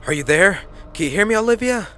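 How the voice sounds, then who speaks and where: A man's voice: high-pitched, animated, quick pace, a bit bright, soft background music, pitched above the performer's own voice. A man voicing an anxious but stern female emergency dispatcher, urgent and reassuring, in a horror story. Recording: faint background noise.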